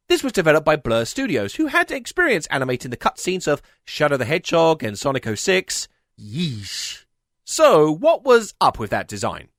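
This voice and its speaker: A man's voice: a little high, fairly bright tone, dry recording, clean studio sound. A man narrating a scripted comedic video essay as its host, with a sardonic, emphatic delivery.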